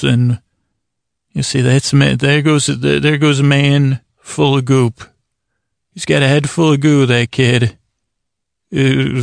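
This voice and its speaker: A male podcast host with a creaky, mid-pitched voice talks in a casual bedtime ramble. His intonation stays fairly flat as he wanders, stammers and circles back on his own phrases. He is self-deprecating, and the sound is close and dry. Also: no room sound. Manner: meandering, halting, stammering and repeating himself.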